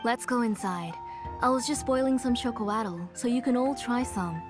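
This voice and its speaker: An actress playing a soft-spoken, motherly middle-aged woman in a game, with faint music behind. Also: on the high side, normal speed, natural voice. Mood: fond. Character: gentle tired middle-aged mother, caring aunt.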